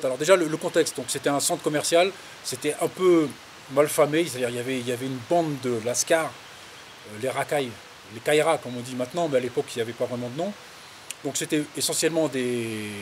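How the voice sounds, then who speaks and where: Guy in his 50s from paris suburbs telling life stories, hesitant slangy talk, mid voice, some hiss behind. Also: mid-range voice. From Paris suburbs, France. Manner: hesitant, rambling, colloquial. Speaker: interviewee, farmer. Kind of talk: casual autobiographical interview, recounting anecdotes. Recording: clear background hiss.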